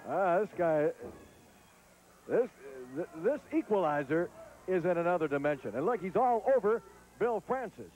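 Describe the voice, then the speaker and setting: An excitable man calls the wrestling action from an arena booth, fast to hype whatever comes next. His voice sits a little high and pushes hard on every promo. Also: lo-fi sound. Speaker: wrestling TV host and commentator. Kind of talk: excited ringside commentary and event promos.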